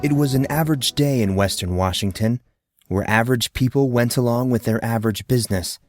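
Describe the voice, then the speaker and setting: A man's voice: mid-range voice, fairly quick, faint music behind. A man reading a fantasy novel aloud as narrator, in a friendly storytelling manner. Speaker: audiobook narrator.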